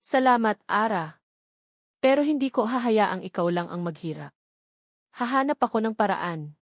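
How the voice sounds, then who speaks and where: A woman narrator plays an earnest young father in a romantic storybook drama, burdened but devoted to his family. The voice is medium-pitched for a woman and recorded very dry. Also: expressive, moderate pace, dry recording. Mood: grateful, determined.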